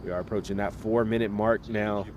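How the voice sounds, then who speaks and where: A man casting a live esports match, relaxed and chatty, talking straight to viewers while getting over a slight cold. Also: lowish voice, fairly quick, noisy background. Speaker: esports caster.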